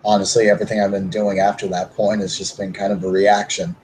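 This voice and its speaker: A man's voice: little pitch movement, fast talker, a little mellow, slight background noise, close to an everyday voice. A man playing Emmet, a modest, unsure young werewolf, speaking hesitantly in a tabletop roleplay. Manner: modest, hesitant, low-key. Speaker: player character.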